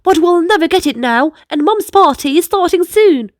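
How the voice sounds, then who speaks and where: A woman's voice: very high-pitched, animated, normal speed, voice pushed up. A woman voicing an eager, protective young boy, the older brother in a storybook, with urgent, emphatic delivery. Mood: determined, urgent.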